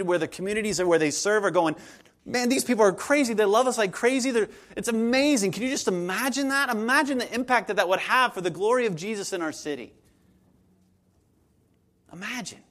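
A man's voice: fairly high pitch, a bit bright. A man, preaching a sermon to his congregation in an earnest, conversational way, often repeating his phrases. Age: 40s. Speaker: pastor.

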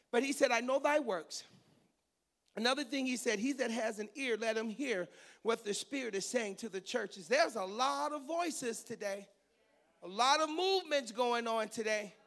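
A man in his fifties preaches in a raspy, high-pitched voice with a gravelly edge. As a pastor he mixes teaching and preaching in one sermon. He reads scripture and prays, and drops goofy, joking asides in between. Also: fairly bright tone. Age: 50s.

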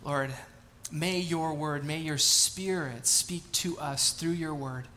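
A man in his thirties preaching from a church stage, his voice pitched a touch high and bright with an edge of tension. He slides from reading and prayer into loose, chatty storytelling, poking fun at himself. A faint hum of room ambience sits behind him. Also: slow pace. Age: 30s. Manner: casual, chatty, self-deprecating storytelling. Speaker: pastor. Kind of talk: sermon with scripture reading and prayer. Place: church sanctuary.

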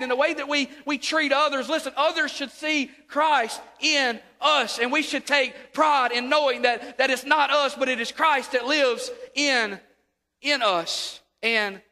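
A man's voice: very high voice, measured pace. A man preaching a sermon, sniffly from a sore throat, repeating words and calling for amens. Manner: repeats words, rises to near shouts, calls for amens. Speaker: pastor. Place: church sanctuary. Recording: long hall reverb.

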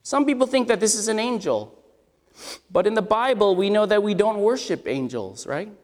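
A man's voice: high-pitched, animated, normal speed, darkish tone. A man preaching an expository sermon with a scratchy voice, talking conversationally and asking his listeners questions. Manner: conversational, asks the congregation questions. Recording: long hall reverb.

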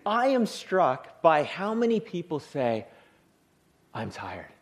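A man preaching a sermon in a church with a soothing voice. He talks in a humorous way and acts out the people he describes. His pitch sits a little high and rises and falls a great deal. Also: wildly swooping pitch, takes it slowly. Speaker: pastor.

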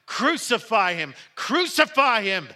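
Man acting as furious, forceful mob accuser. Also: fairly high pitch, expressive, a little slow, full character voice. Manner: shouted, vehement, demanding. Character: angry mob of male accusers demanding execution.

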